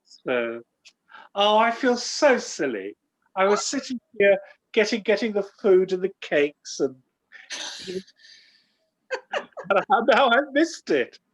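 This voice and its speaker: A woman with a medium-pitched voice talks casually over a video call. Her manner is apologetic and self-deprecating. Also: sing-song, slow, pauses often, poor audio. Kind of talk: casual chat.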